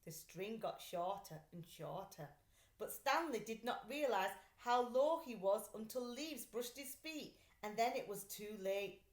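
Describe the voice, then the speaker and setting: A high-pitched woman reads a children's storybook aloud in an echoey room. Her delivery is very lively and dramatic, swinging up and down as she narrates for young listeners. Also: a little slow. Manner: animated, dramatic character voicing in dialogue. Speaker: teacher reading a children's book aloud. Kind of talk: expressive storybook read-aloud.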